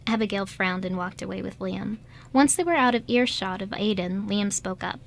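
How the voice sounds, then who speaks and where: A woman's voice: mid-range voice, moderate pace, creaky. A woman reading scripted third-person narration as the narrator of an amateur radio drama. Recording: light background noise and ambience.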